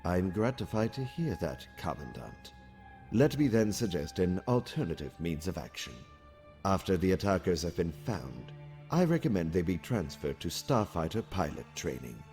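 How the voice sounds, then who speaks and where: Guy doing calm alien navy officer, super deep, formal n calculating, sci-fi comic dub. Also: faint music behind. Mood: measured, persuasive. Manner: measured, formal, precise wording. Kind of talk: dramatic comic dub acting.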